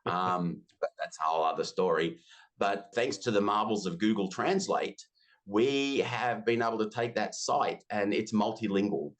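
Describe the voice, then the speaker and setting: Low-voiced Australian man in his fifties. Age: 50s. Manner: chatty, self-deprecating, talks in quick asides. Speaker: interview guest, business software community founder. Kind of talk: casual interview chat.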